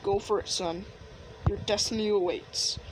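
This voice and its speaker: A woman playing a frank, fatherly knight in an improvised game roleplay, explaining things in a halting, matter-of-fact way. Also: medium pitch, fairly even intonation, background noise, lightly acted. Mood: encouraging. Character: fatherly knight, frank mentor to his son.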